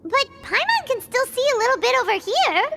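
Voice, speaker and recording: Squeaky tiny fairy. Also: female voice, big pitch swings, a little fast, vocal fry, very noisy, full character voice. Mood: chirpy, sheepish. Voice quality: squeaky, childlike. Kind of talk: anime-style game voice acting.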